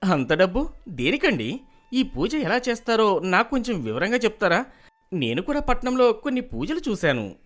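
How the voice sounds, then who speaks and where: A man voicing an earnest, city-educated young man in an animated moral story, confident and questioning. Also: high-pitched, normal speed, pitched above the performer's own voice. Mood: curious.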